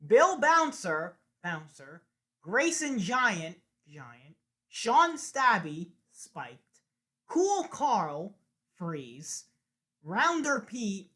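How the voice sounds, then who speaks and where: A woman's voice: mid-range voice, sharp tone. A woman reading scripted lines aloud in a declamatory way, with sing-song emphasis on her phrases. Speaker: amateur animator recording voice lines.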